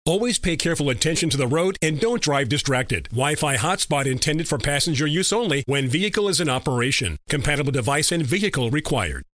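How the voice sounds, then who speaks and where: Casting: male commercial announcer, slightly high pitch, very dry close sound, scripted read. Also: very animated, a little fast, few pauses, fairly bright tone, no echo. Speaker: advertising announcer.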